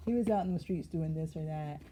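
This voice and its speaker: Woman tarot reader, low dark voice, slangy and emphatic, faint background noise. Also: a little fast. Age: adult. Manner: lively, slangy and emphatic, with frequent laughs. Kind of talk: casual spiritual reading vlog.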